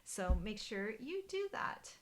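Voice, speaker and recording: A middle-aged woman, German her first language, chatting to viewers in a casual live stream from a bright living room, with many ums and restarts. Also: medium pitch, very animated, slow. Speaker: papercraft demonstrator hosting a live stream.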